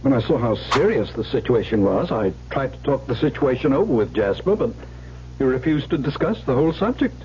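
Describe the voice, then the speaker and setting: An actor playing an old male family lawyer, proper and cautious, with a measured, fussy delivery. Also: medium pitch, natural voice. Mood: concerned. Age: older. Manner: measured, fussy, lively inflection. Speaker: actor in radio drama. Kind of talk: 1970s radio drama acting. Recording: muffled old radio recording, clear hiss.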